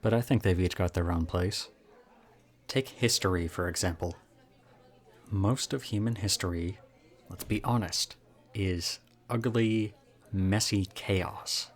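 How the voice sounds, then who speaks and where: A very low-pitched young college guy, played by a male voice actor in an intimate roleplay audio drama. He is chatty and charming, pokes fun at himself and teases softly, with something subtly odd about him. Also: slow pace, pauses often. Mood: pensive, cynical. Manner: chatty, self-deprecating, softly teasing.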